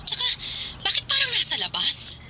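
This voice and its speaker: A woman's voice: extremely high pitch, moderate pace, heavy background noise, heavily acted. An actress playing a nosy, teasing older woman, the gossiping best friend in a radio drama. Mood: teasing, curious. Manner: inquisitive, animated gossip. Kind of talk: Tagalog radio drama dialogue. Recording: loud music bed under voice.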